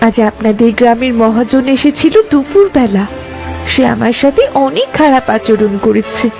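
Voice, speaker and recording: A woman plays a young fairy princess from a cartoon folk tale. Her voice is fairly high, with tender, formal and earnest delivery. Music plays behind her. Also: moderate pace, gravelly, darkish tone. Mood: grave.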